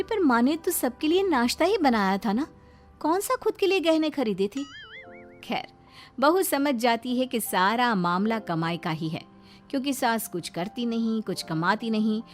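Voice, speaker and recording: A woman narrating a family story in an expressive, dramatized storytelling style. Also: on the high side.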